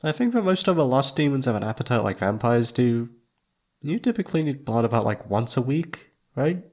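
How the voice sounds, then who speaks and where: A man's voice: mid-range voice, lively intonation, a little fast, darkish tone. A man playing a shy, flustered young incubus roommate in an ASMR roleplay, hesitant and awkward, often trailing off. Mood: weary, pensive. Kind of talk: ASMR roleplay audio drama.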